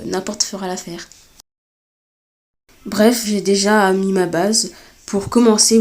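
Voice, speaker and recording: A woman with a cold giving a step-by-step drawing tutorial, talking hesitantly with many filler pauses. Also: medium pitch. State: has a cold. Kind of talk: screen-recorded step-by-step tutorial.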